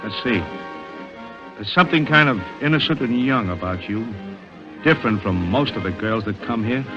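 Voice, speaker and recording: An actor playing a restless, smooth-talking male prowler and thief in a 1940s-style radio drama. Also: on the low side, lively intonation, normal speed, close to an everyday voice. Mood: insistent, smooth. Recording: muffled vintage radio recording, music behind.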